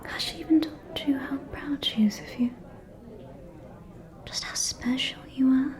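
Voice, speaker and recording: A woman playing a scheming, falsely caring aristocratic vampire in a whispered ASMR roleplay, with a soft, breathy, silky and insinuating delivery. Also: sits high. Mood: coy, insinuating. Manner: slow, silky, insinuating, condescending.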